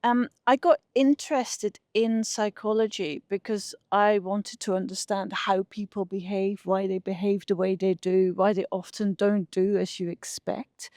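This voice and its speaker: A woman's voice: medium pitch, dark tone, dry recording, clean studio sound. A middle-aged woman with a Dutch accent, explaining her research conversationally as an interview guest. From Netherlands. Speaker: interview guest, behavioural scientist.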